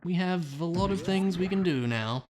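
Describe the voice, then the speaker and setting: A young man from Australia streaming a game and chatting casually with his viewers, relaxed and talkative. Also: sits high, normal speed, a little hiss or hum. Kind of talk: casual chat while gaming, reading chat.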